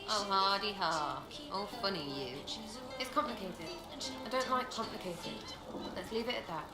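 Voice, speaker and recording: A woman's voice: mid-range voice, natural voice. A woman playing a brash, mocking elf archer. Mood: sardonic. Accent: Fereldan (British-style). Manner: blunt, mocking, quick retorts. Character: brash young female elf archer, sardonic. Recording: roomy game audio with music.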